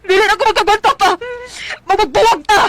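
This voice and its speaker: High-voiced, overburdened Cebuano Filipino mother. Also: steady tone, fairly quick, fairly bright tone, a little hiss or hum. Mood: angry, tearful. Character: poor, overburdened mother, fed up with irresponsible husband. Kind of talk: Filipino radio drama acting in Bisaya.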